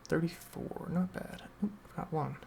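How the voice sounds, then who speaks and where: A man's voice: a little high, unhurried, gravelly, noisy background. A man giving casual live gameplay commentary as a streamer. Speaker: game streamer.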